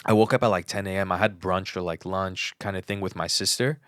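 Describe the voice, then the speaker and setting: A man's voice: low-pitched, steady tone, a little fast, a bit bright, no echo, clean studio sound. A man co-hosting a podcast in casual chat, telling personal stories emphatically and repeating words for stress. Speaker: podcast co-host.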